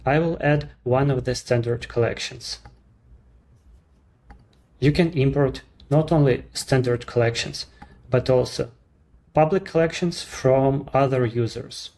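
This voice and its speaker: Ukrainian-accented man explaining software calmly. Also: mid-range voice. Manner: measured, explanatory, slightly non-native phrasing. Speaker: app creator presenting his service. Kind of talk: screen-recorded software walkthrough.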